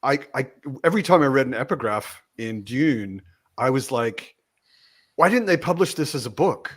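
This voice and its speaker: A man's voice: medium pitch, expressive, normal speed, husky. A man chatting casually as a panelist in a book discussion, often voicing imagined reactions.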